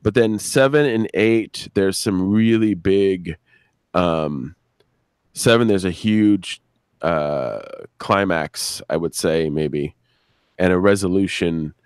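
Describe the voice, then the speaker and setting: A man's voice: low voice, slow pace, clean tone, dry recording, studio quality. A man chatting casually on a live stream as a show's creator, searching for words and swearing freely. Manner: hesitant, searching for words, frank and profane. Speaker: show creator and podcast guest.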